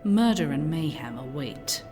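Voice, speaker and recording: An actress playing a bitter, bloodthirsty drow elf adventurer in a fantasy audio drama, with a scornful, dramatic delivery. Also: female voice, sits low, animated, unhurried, mellow. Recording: long reverb, music underneath.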